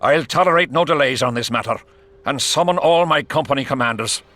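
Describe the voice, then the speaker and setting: A man's voice: mid-range voice, fairly quick, a bit bright, natural voice. An actor playing a brusque, imperious king in a fantasy video game, giving curt commands. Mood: commanding.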